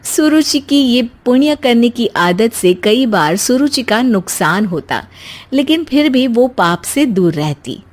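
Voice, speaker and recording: A woman's voice: a little high, animated, takes it slowly. A woman narrating a scripted comic moral tale as a storyteller.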